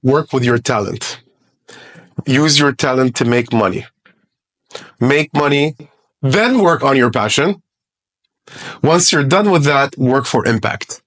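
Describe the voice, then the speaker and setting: A man's voice: mid-range voice, lively intonation, takes it slowly, long pauses, a bit bright. A man in his forties from Lebanon, a guest investor giving blunt, profane, emphatic advice in a casual podcast interview. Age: 40s.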